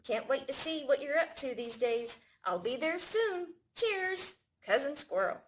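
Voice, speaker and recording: A woman's voice: high voice, expressive, unhurried, a little hiss or hum, pitched above the performer's own voice. A woman voicing a friendly male squirrel in a storybook, chummy and upbeat, stretching out phrases. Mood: cheerful, chummy. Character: friendly, breezy male cousin squirrel writing a letter.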